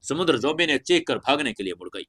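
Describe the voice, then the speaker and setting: A man's voice: medium pitch, very animated, quick pace, fairly bright tone. A man narrating a scripted fantasy audio story in a dramatic storytelling style.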